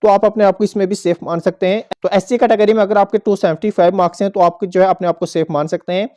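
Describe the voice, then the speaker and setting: A man's voice: high-pitched, steady tone, fast, mellow. A man presenting an informational explainer to viewers, going step by step and repeating points as he goes. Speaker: host of an exam update channel.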